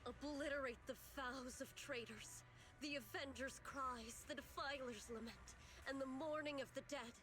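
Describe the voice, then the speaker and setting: A woman voicing a serene, zealous villainess in a game, speaking with solemn, theatrical grandeur as a devout servant of a dark creator. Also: high voice, steady tone, normal speed, natural voice. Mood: fervent invocation. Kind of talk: dramatic game voice acting. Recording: music behind the voice. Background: game music.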